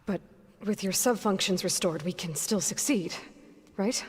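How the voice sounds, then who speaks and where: A woman's voice: medium pitch, unhurried, slightly rough. A woman acting a determined, blunt young warrior who commands with resolve in a video game cutscene. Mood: focused, tense. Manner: direct, resolute.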